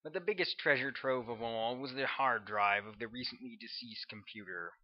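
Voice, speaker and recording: A man reading his own novel aloud as scripted audiobook narration, at a middling pitch. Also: moderate pace. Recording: dull, lo-fi sound.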